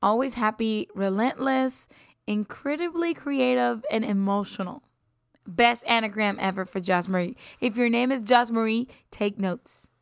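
A woman's voice: on the high side, unhurried, vocal fry, clean tone, no echo, studio quality. A woman in her twenties from Puerto Rico, chatting casually about herself as a podcast host, upbeat and laughing often, sometimes dropping into a whisper. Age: 20s. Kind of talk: casual self-introduction.

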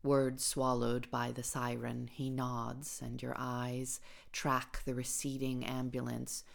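A woman reading a short story as literary audiobook narration, in a measured, deliberate way. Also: rumbling low, completely flat delivery, gravelly. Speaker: story reader.

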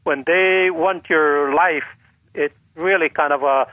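A man's voice: a little high, slow pace, dry recording. Older man from the Philippines on a phone line. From northern Philippines. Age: 70s. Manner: earnest storyteller with a light laugh, repeats for emphasis. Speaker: guest. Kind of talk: conversational testimony.

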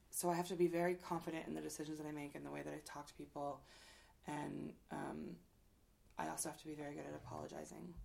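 A woman speaking frankly and at length in a casual interview, rambling with fillers and casual swearing, who says her voice is loud. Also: deep voice, vocal fry, slight background noise. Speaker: guest. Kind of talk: candid conversational interview.

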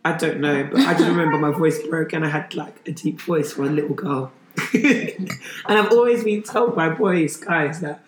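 A young woman from with a deep voice, hoarse from losing it, chatting casually with a friend and saying "like" often. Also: normal speed. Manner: chatty, full of "like" fillers. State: hoarse, has lost her voice. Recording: echoey room with background noise.

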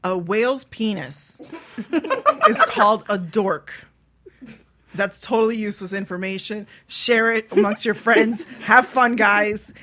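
A woman's voice: mid-range voice, a bit bright, slight background noise. A woman hosting a casual radio chat show, talking in a bawdy, joking way.

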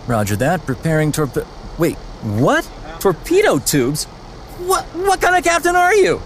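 A woman playing a flustered, theatrical cartoon character with halting delivery. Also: on the low side, wildly swooping pitch, a little hiss or hum. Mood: bewildered. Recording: music bed under the voice.

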